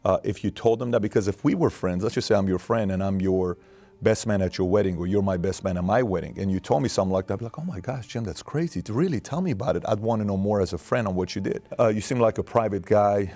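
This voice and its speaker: A man in his thirties from Iran, hosting a studio interview, talking earnestly with lots of fillers and gestures. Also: deep voice. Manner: animated, earnest, with many fillers and hand gestures. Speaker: host. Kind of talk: interview and show intro. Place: studio set with wood-panel wall.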